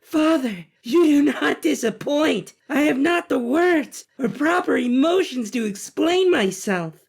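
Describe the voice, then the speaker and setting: Female robot played by a man: slow, high-pitched, earnest schemer, deliberate theatrical audio drama style. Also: lively intonation, gravelly, heavily acted. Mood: eager, devoted. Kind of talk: scripted audio drama acting.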